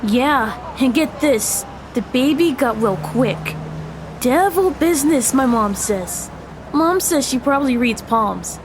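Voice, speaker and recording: A woman's voice: sits high, expressive, takes it slowly, noisy background. A woman playing an anxious middle school boy in a family audio drama, earnest and hesitant. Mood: gossipy, spooked. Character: anxious middle school boy confiding in his best friend.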